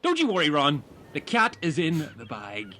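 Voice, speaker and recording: A man's voice: wildly swooping pitch, normal speed, bright, forceful tone, slight background noise, heavily acted. A man voicing a pompous, scheming male magician with a theatrical, grandiose manner in a comedy sketch. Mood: showy. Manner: grandiose, drops to low menacing tones.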